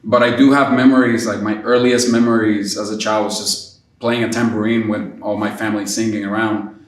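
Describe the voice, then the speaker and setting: A man's voice: lowish voice, normal speed. A man from Puerto Rico, a percussionist, talking casually and hesitantly about his work and family. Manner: relaxed, hesitant, many fillers. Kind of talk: casual interview answers. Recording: echoey room, distant mic.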